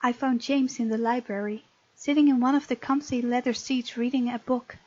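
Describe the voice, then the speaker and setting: A woman's voice: fairly high pitch, fairly even intonation, normal speed, a little mellow, highs cut off. A woman from the Netherlands, reading a visual novel aloud as let's play narration with casual asides.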